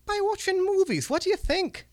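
A gruff old goblin, as a man puts him on for a storybook reading. He talks in a high, swooping voice, leaning on his swearing and his "lad". The sound is close and dry. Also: lively intonation, no echo. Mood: teasing. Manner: gruff, folksy, drawn-out swearing. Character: old male goblin red cap, wistful and gruff.

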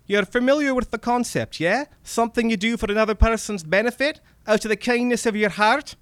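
Little old Scottish vampire, acted by a male reader: high-pitched, sarcastic, wheedling, scolding, for a horror story, close dry sound. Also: fairly quick, fairly bright tone, dry recording.

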